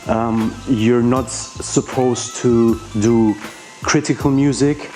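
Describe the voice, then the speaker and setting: A man's voice: medium pitch, steady tone, takes it slowly, darkish tone, soft background music. A man from Iran whose first language is Persian, a rapper answering interview questions in a hesitant way, with many fillers and restarts.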